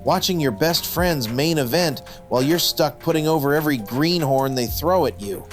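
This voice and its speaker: An actor playing a middle-aged, washed-up wrestler, bitter, defensive and self-loathing, in a noir radio drama. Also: male voice, fairly high pitch, expressive, moderate pace, soft background music, close to an everyday voice. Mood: bitter, ashamed.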